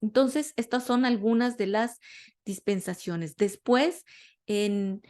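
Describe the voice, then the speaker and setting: Woman from Latin America, spiritual teacher, chatty class talk, mid-pitched voice. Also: takes it slowly, darkish tone. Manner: conversational, self-correcting, searching for words. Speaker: metaphysics teacher.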